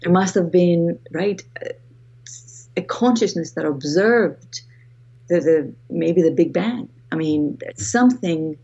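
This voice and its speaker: A woman with a Greek accent talks in a low, husky and mellow voice. She is a guest author in an interview, reflective and repeating words as she finds her thought. The sound is a little dull. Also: animated, takes it slowly. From Greece. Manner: reflective, restarts phrases often. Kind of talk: podcast interview.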